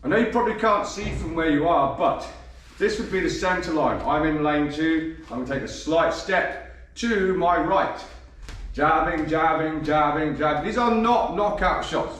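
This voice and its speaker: A man, a bit croaky from a cold, coaching boxing and counting punches as he demonstrates. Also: on the high side, a bit bright. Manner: demonstrates moves while talking, counting punches. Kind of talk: instructional demonstration. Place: gym. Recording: echoey gym, voice from a distance.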